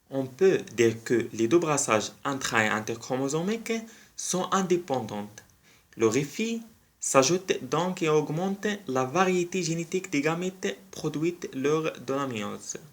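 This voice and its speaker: A man who is not a native French speaker hosts a biology channel and explains a topic in an educational style, carefully repeating key phrases for emphasis. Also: on the high side.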